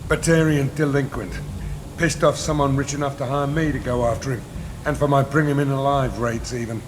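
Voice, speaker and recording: Man playing a gruff, hard-bitten mercenary, noisy ambience. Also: medium pitch, fairly even intonation, brisk, lightly acted. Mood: cynical, businesslike. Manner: blunt, clipped, menacing. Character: gruff, hard-bitten older male mercenary, cynical and ruthless. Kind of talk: video game dialogue.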